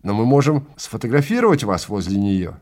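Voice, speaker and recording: A man playing a stern, matter-of-fact hunting guide in an audio drama, explaining with brisk authority. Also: on the low side, sing-song, darkish tone. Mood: firm, explaining.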